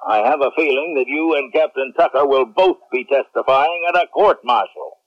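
An actor playing an authoritative, reassuring military general with a measured, commanding delivery in a radio drama. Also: male voice, mid-range voice, natural voice. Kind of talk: 1970s radio drama acting. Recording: dull, band-limited vintage radio recording.

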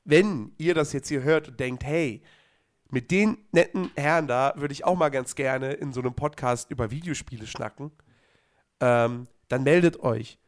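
A man co-hosting a podcast, hoarse from a cold, chatting casually with lots of fillers and restarts. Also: medium pitch, normal speed. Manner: rambling, many fillers and restarts. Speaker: podcast co-host. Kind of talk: casual chat.

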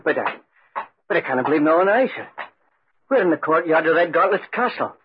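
A man's voice: on the high side, lively intonation, fairly quick, background noise, close to an everyday voice. A man playing an aggrieved, stubborn country piper who tells his ghostly tale in 1940s radio style. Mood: brooding, amazed. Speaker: actor and narrator in radio drama. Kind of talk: 1940s radio acting, first-person storytelling. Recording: roomy, muffled 1940s radio recording.

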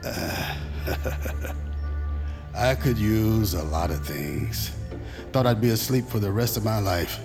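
An actor playing a weak but calm man freed from a long coma in an audio drama, terse and matter-of-fact. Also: fairly low pitch, slow pace, music in the background. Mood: weary, calm. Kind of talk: immersive audio drama acting.

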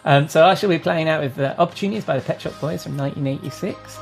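A man's voice: fairly high pitch, fast talker, vocal fry, soft background music. A middle-aged man hosting a podcast in a chatty, conversational style. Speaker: podcast host.

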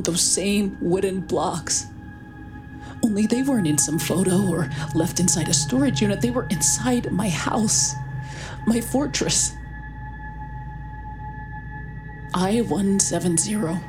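A woman's voice: on the low side, natural voice. An actress playing an anxious, haunted young mother in a horror audio drama, hesitant, tense and earnest. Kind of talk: audio drama narration and dialogue.

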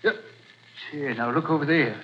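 An actor doing a blunt police lieutenant in an old radio drama, high-pitched for a man and full of folksy, rough grammar and wisecracks. The recording is dull and lo-fi, with faint hiss behind it. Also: moderate pace, natural voice. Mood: gruff, joshing. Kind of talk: 1940s radio acting.

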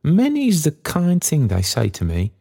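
A man's voice: a little high, huge pitch swings, unhurried, vocal fry, warm tone, husky, pitched above the performer's own voice, exaggerated voice. A man voicing a kindly, poor old working father in a storybook, musing cheerfully in folksy, rambling speech. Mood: warm, animated. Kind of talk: storybook character dialogue.

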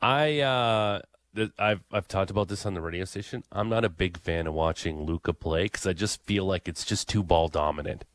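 Deep, dry-voiced sports radio host. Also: male voice, moderate pace, no echo. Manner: blunt opinions, repeats his points for emphasis.

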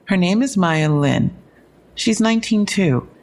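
Female police detective, audio drama: gravelly edge, low-key factual briefing, dry humor. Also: expressive, measured pace, darkish tone. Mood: matter-of-fact, wry. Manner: conversational briefing, wry asides. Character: matter-of-fact young female police detective, dryly wry.

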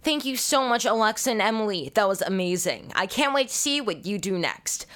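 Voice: mid-range voice, lively intonation, fairly quick, a bit bright, faint music behind. A talk show host speaking in an upbeat, gushing interview style. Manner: gushing, enthusiastic.

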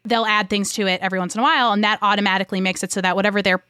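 A woman's voice: medium pitch, fairly even intonation, very fast, pushed and bright, clean tone, dry recording, clean studio sound. A woman talking in a casual, conversational way as a podcast co-host.

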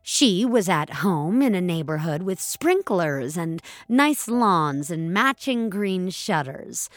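A woman's voice: fairly low pitch, very animated, slow, no echo. A woman narrating scripted dramatic fiction as a storyteller.

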